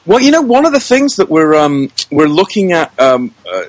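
A man's voice: on the high side, sing-song, normal speed, no echo. A man speaking as a guest in a casual podcast interview, effusive and often repeating words as he gathers his phrasing. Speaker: interview guest, producer, director and actor.

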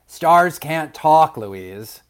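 A man's voice: a little high, expressive, very slow, a bit bright, clean tone, pitched above the performer's own voice, full character voice. A man voicing a rigid, exasperated young boy seed in a children's story, reluctant and matter-of-fact. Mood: insistent.

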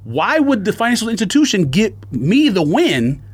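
A man's voice: high-pitched, very animated, moderate pace, breathy edge. A man telling a story as a casual podcast rant, slangy and exasperated, acting out both sides of exchanges. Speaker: sneaker podcast co-host.

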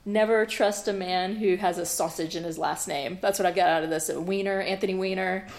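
Frank, chatty woman, lowish voice. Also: steady tone, brisk. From Southern United States (Memphis, South Georgia). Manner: chatty and frank, laughs at her own jokes. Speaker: guest, artist. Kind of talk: conversational interview.

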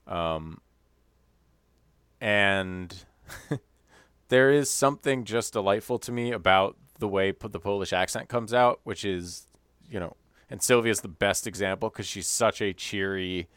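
A man co-hosting a podcast, chatting casually about a cooking show, animated and quick to laugh at his own jokes. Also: on the low side, clean tone, dry recording, studio quality. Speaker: podcast co-host. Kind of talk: casual chat.

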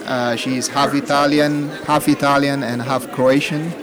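A man from Ethiopia whose first language is Amharic, answering interview questions in a hesitant way, with many pauses and fillers. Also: medium pitch, level tone, noisy background. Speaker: guest parent. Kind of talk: casual interview. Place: busy festival hall. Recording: loud festival crowd behind.

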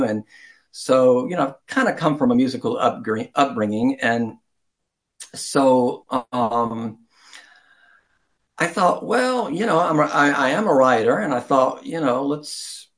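Older man, rambling and chuckling. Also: medium pitch. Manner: rambling, chuckling, self-deprecating. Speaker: interview guest, writer. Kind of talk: conversational interview answers.

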